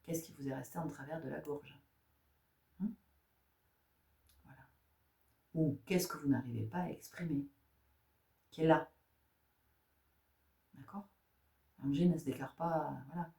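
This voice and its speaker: A woman's voice: sits low, unhurried, creaky, pauses often, dark tone, recorded from a distance. A woman giving a spiritual tarot guidance talk, asking rhetorical questions, repeating phrases and often checking in with her listeners. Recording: echoey room.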